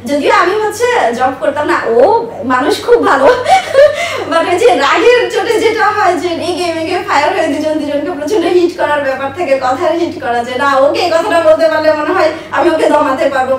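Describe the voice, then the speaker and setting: A woman in her thirties telling personal stories as an interview guest, speaking emphatically and repeating herself for stress. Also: high voice. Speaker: interview guest, business owner. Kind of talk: personal life storytelling in an interview. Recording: echoey room, distant mic, faint noise.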